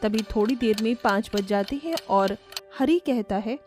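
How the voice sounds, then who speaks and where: Woman, scripted story narration, fairly high pitch, faint music behind. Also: moderate pace, a little mellow.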